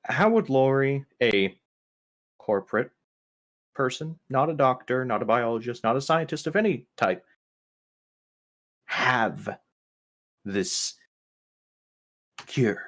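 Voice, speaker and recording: A man's voice: medium pitch, animated, slow, pauses often, a bit bright, voice pushed up. A man playing a stern ship's captain who fires clipped, demanding questions in a tabletop game. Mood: demanding, incredulous. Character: stern ship's captain interrogating his crew at gunpoint.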